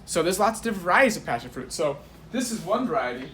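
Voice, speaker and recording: A man's voice: sits high, big pitch swings, fast, a bit bright. Male guest presenter, instructive and informal, recorded with roomy echo and light noise. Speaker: guest presenting tropical fruits. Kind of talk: casual explaining demonstration.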